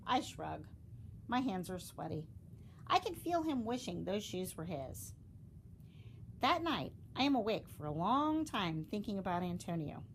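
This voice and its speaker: A woman reading a children's storybook aloud, expressively, with dramatic emphasis and deliberate pauses. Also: mid-range voice, very animated, slight background noise. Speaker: storybook reader.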